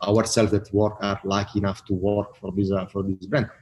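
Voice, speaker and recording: A man from Italy with an Italian accent, talking casually as a guest in an interview and pausing often with fillers and restarts. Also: on the low side, little pitch movement, a little mellow. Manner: halting, many fillers and restarts. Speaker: brand marketing guest.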